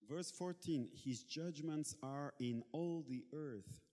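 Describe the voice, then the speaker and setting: A Bulgarian-born man preaching in English, pausing often as he searches for words, with a playful edge. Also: a little high, mellow. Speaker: guest preacher. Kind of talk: sermon in English with interpreter. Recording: long hall reverb.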